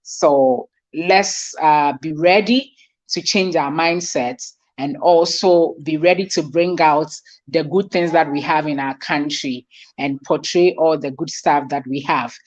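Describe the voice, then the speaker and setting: A woman's voice: low voice, measured pace. A woman from Ghana with a Ghanaian accent, speaking earnestly as a panel guest, using fillers like "like". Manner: earnest, repeats points with fillers like "like". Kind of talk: discussion contribution.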